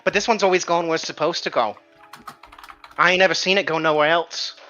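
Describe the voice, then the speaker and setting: A man voicing an excitable young street boy in a tabletop game, rambling and repeating himself as he spreads spooky rumours. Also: a little high, a little fast, dull audio, soft background music, pitched above the performer's own voice. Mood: insistent.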